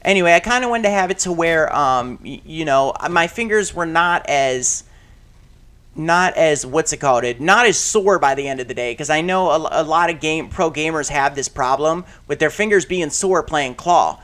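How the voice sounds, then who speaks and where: A man with a raspy voice rambling casually about game controllers while playing a shooter, with many fillers and restarts and sudden loud outbursts at the game. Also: on the high side, expressive, normal speed. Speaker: gaming channel host.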